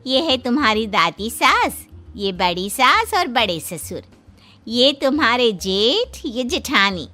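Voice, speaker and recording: Woman acting a measured, commanding mother-in-law. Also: fairly high pitch, very animated, sharp tone, soft background music, exaggerated voice. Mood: matronly. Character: commanding middle-aged mother-in-law of a big family. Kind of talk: Hindi cartoon dialogue.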